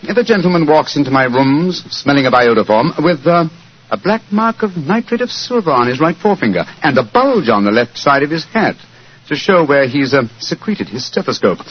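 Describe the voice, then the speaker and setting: An actor playing a brisk, superior English master detective, assured and didactic, in 1940s radio drama style. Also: male voice, a little high, very animated. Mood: amused, assured. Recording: muffled, hissy 1940s radio broadcast.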